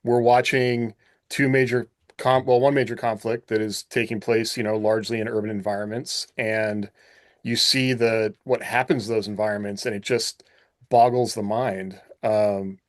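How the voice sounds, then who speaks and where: A man's voice: lowish voice, little pitch movement, moderate pace, no echo, clean studio sound. A man hosting a podcast in casual chat, musing aloud and posing questions. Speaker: podcast host.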